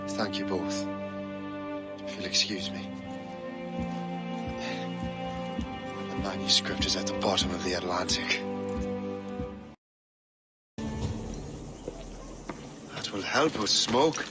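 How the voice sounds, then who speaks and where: A man's voice: sits low, normal speed, lightly acted. An actor playing a proud, guarded young male sailor from colonial times in a video game. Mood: polite, subdued. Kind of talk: dramatic video game cutscene acting. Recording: loud music behind.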